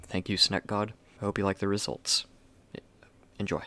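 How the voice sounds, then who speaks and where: A man speaking softly as he introduces his ASMR roleplay video. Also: on the low side, monotone, normal speed, slight background noise.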